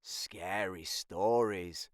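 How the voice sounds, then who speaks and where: A storybook reader, giving animated scripted narration for children. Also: low-pitched, extremely slow, dry recording, clean studio sound.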